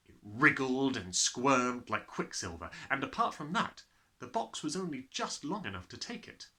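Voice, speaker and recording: A man reading a children's story aloud with expressive, dramatic narration. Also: mid-range voice. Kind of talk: animated scripted narration.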